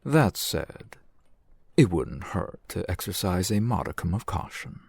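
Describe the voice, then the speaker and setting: Casting: actor for suave male shopkeeper and fence, fantasy ASMR roleplay. Deep, gravelly, measured, wry, recorded close and dry. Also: big pitch swings, a little mellow, dry recording, exaggerated voice. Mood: wry, unhurried. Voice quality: gravelly, husky. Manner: measured, formal, wry, silky wording. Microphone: close microphone, dry sound.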